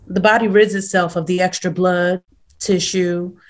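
A woman's voice: fairly low pitch, level tone, moderate pace, natural voice. Woman playing an unruffled, dismissive older nurse. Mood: calm, dismissive. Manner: matter-of-fact, placating. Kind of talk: table read of a film script.

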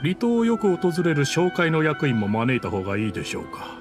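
An actor playing a dutiful clan retainer in a Japanese video game, formal and respectful in speech. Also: male voice, fairly high pitch, wildly swooping pitch, brisk, over music. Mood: busy, attentive. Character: dutiful, deferential clan retainer preparing a ceremony.

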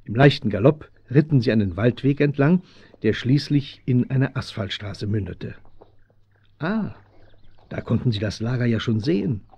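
A man's voice: mid-range voice, animated, moderate pace, a little mellow, husky, dry recording. A man playing the storyteller of a children's radio mystery, giving scripted narration. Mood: narrating. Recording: dull old audio drama recording.

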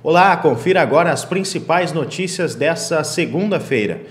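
A young man reading a local news bulletin in a steady, formal way in a small studio. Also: a little high, normal speed, over loud music. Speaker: news anchor.

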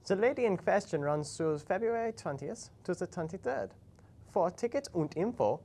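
A woman hosting a college TV show, presenting from a script with light banter. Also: deep voice.

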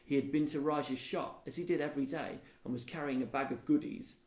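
A man in his thirties reading a children's storybook aloud like a primary school teacher, with a creaky voice. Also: mid-range voice, fairly even intonation, fairly quick, a little mellow. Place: classroom, indoors. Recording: echoey room.

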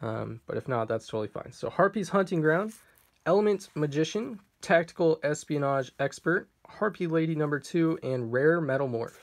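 A man casually narrating a card pack opening off camera, reading out card names, a little hoarse. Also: fairly high pitch, moderate pace. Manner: relaxed, reads card names off one by one. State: slightly hoarse, clearing throat. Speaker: card collector opening packs. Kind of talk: casual unboxing commentary. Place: indoors at a table.

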